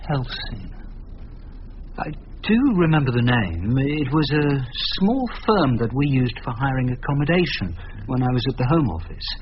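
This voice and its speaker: An actor playing a disgruntled civil servant on trial in a radio drama, speaking in a plain, conversational way. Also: male voice, mid-range voice, very animated, normal speed, natural voice. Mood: thoughtful. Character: disgruntled male civil servant, a worried defendant. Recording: dull radio recording with background noise.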